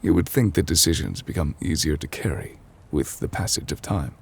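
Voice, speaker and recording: A man playing an ancient, weary chief judge in an intimate ASMR roleplay, formal and gentle, speaking in measured, hesitant phrases. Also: expressive, full character voice. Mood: sombre, weary. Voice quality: husky, breathy low voice. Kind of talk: intimate ASMR audio roleplay, slow dramatic monologue.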